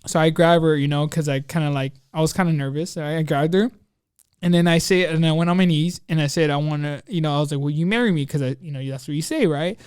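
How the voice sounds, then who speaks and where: A man with a fairly high, smooth voice tells an anecdote on a casual podcast. He rambles excitably in slang, acting out his own asides, in a very dry, close space. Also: fast, dry recording. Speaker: podcast co-host telling a personal story. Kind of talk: casual chat, anecdote storytelling.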